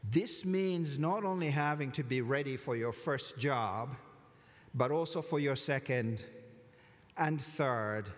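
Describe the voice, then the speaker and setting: A man giving a formal commencement address from a lectern, measured and deliberate with touches of dry humor. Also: medium pitch. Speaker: college commencement speaker. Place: large hall at a lectern, indoors. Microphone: lectern microphone. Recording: long hall reverb.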